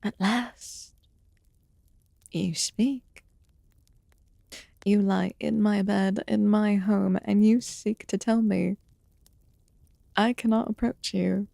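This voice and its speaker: An ancient, haughty yet quietly caring fae recluse, acted out by a woman for a fantasy ASMR roleplay. She murmurs in archaic, formal phrases at a very slow pace. The voice is soft and dark with gravelly fry, recorded close and dry. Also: medium pitch, steady tone, dry recording. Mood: amused, patient.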